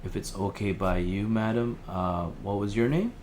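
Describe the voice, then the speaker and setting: A man acting as a gentle, awkward helpline operator in an audio drama, speaking in a hesitant, casual, placating way. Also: on the low side, normal speed, slight background noise, natural voice. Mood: easygoing, hesitant. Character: gentle, awkward, fatalistic male helpline worker.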